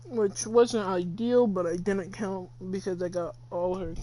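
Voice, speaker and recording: A woman's voice: mid-range voice, steady tone, a little slow, warm tone, slight background noise. A teenage female chatting casually and reacting to a game in an offhand, slangy way. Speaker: game streamer. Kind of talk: casual gameplay reaction chat.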